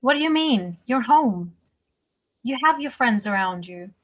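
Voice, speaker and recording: A woman's voice: on the high side, lively intonation, measured pace, muffled recording. A woman playing an anxious but determined wife in a table-read drama. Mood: earnest, determined. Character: anxious, determined wife wanting to find her husband.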